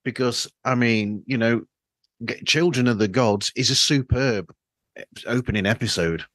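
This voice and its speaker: A man's voice: mid-range voice, moderate pace, a bit bright, no room sound. A man speaking casually as a podcast host in an interview chat. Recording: faulty microphone sound.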